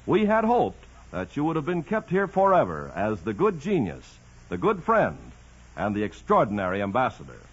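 A man announces on vintage radio, reading from a script. His mid-range voice is very animated and a bit breathy, on a muffled old broadcast. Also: mid-range voice, moderate pace.

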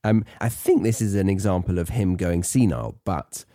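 Low, mellow, lively British man. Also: normal speed, no room sound, clean studio sound. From Britain. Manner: rambling, playful asides, self-deprecating. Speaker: podcast host teaching English learners. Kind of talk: casual, chatty explainer.

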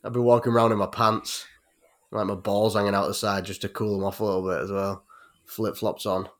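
A man's voice: on the low side, little pitch movement, fairly quick. An English man in his late thirties chatting casually on a podcast, rambling and swearing a lot, laughing often. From England. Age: 30s. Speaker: podcast host. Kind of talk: casual chat.

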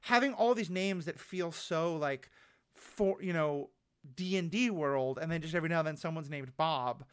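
A man's voice: a little high, normal speed, a bit bright, smooth. A man with an American accent, co-hosting a podcast and casually discussing a TV show. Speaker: podcast co-host.

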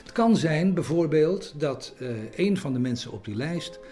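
A man talking reflectively in an interview, hesitant and halting, often repeating his phrases. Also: on the high side, expressive, mellow, faint music behind.

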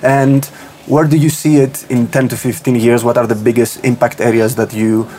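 A man hosting a podcast, reading a scripted welcome and then asking questions in a halting way with uh fillers. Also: mid-range voice, flat delivery, mellow, slight background noise. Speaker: podcast host.

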